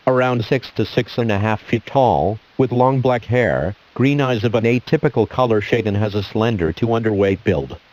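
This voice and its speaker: A man playing an emergency alert announcer, reading a scripted police warning in a formal, measured, official manner. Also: mid-range voice, darkish tone, natural voice. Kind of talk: scripted emergency broadcast narration. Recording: dull lo-fi audio.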